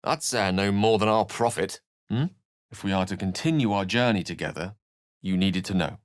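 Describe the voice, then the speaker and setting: A man plays an urbane British wizard from a fantasy video game, pitched low and recorded very dry and close. He is eloquent and courteous, with a wry, bookish manner and theatrical turns of phrase. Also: moderate pace, no room sound, close to an everyday voice. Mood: wry, thoughtful. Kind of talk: video game character voice acting.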